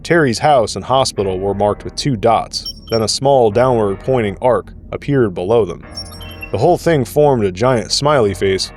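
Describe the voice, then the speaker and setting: A man's voice: lowish voice, a little mellow. A man narrating an audio drama in a scripted storytelling style.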